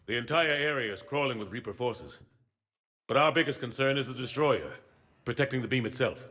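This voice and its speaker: An actor playing a determined, hard-bitten admiral in a video game, speaking with clipped military authority. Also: male voice, medium pitch, lively intonation, fairly quick, sharp tone. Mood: commanding, grim. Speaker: admiral briefing troops. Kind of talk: video game cutscene acting.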